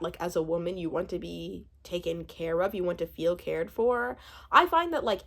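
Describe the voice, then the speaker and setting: A woman in her twenties giving a casual, chatty recap commentary, animated and rambling, often breaking off mid-thought. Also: lowish voice. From born in Uganda, spent part of childhood there. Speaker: YouTube host reviewing a reality show.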